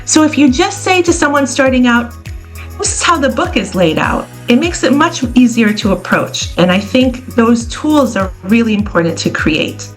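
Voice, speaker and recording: A woman born in Israel and raised in the United States, a scholar answering interview questions in a clear, explanatory way. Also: a little high. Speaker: scholar and guest.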